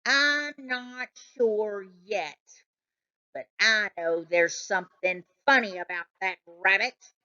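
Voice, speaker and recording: A woman's voice: mid-range voice, slow, sharp tone, clean tone, dull audio. A woman reading a children's storybook, voicing a grumpy, suspicious, bookish male cat in a snappish, insistent manner. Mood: defensive. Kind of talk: children's storybook read aloud.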